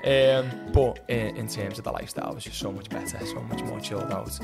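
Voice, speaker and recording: Man in his thirties, Liverpool accent, low-ish voice, casual rambling podcast guest, faint music underneath. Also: steady tone, a little fast, vocal fry. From England. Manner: chatty, rambling, laughs often. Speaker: podcast guest, former student. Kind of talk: casual interview chat.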